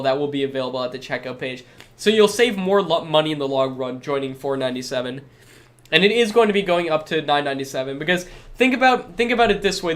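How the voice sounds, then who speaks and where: A young man hosts a live webinar, reading questions from the chat and answering each one in an upbeat, reassuring way. His voice sits fairly high for a man. A head cold leaves it a little stuffed up. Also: a bit bright. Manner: upbeat and reassuring, reads chat aloud then replies.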